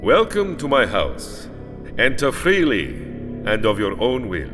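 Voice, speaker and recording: Elderly vampire nobleman, foreign accent, animated intonation, formal and commanding, gothic audio drama, music behind. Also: mid-range voice. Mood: grand, welcoming. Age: older. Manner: courtly, formal, deliberate. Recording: long hall reverb, music behind.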